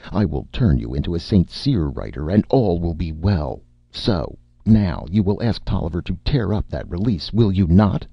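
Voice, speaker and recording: A man's voice: sits low, vocal fry, breathy edge, dry recording, lo-fi sound, full character voice. A man voicing a bullying, heavy-set male film director in a story, with stilted phrasing and a threatening, domineering manner.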